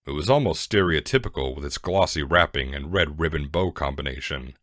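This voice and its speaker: Very deep-voiced male story narrator. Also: expressive, fairly quick, fairly bright tone, no room sound, clean studio sound.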